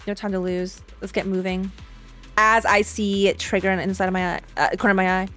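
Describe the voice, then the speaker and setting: A woman's voice: medium pitch, level tone, a little fast, fairly bright tone. A woman streamer reacting to a game, reading dialogue aloud and tossing in flippant asides, faint music behind her.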